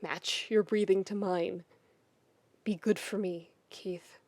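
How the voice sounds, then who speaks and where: A woman acts out a calm, tender man in an audio story. He speaks slowly, hushed and breathy, gently coaxing a friend to settle. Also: medium pitch, no echo. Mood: soothing.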